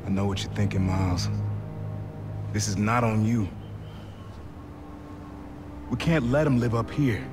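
An actor playing a gruff, streetwise uncle, a protective ex-criminal, in a video game cutscene. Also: male voice, fairly low pitch, unhurried, long pauses, natural voice. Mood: earnest. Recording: game audio with music behind.

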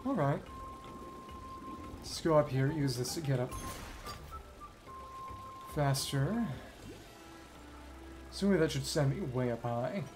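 Male game streamer, mid-range voice, musing and halting commentary in short fragments over clearly audible music. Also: mid-range voice, animated. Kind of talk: casual gameplay commentary, thinking aloud. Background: video game music.

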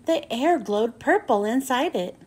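A woman narrates a storybook for young children in a notably high voice. Her intonation swings up and down in a lively way. She takes it slowly, phrasing each line with care and expression. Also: slightly rough. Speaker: children's book reader and host.

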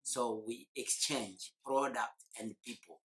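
A man's voice: medium pitch, fairly even intonation, slow pace, a bit bright, rough recording. A man in his forties from eastern Congo, explaining at length and thoughtfully in an oral history interview, with hesitant fillers, recorded indoors on a lapel mic. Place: indoor room.

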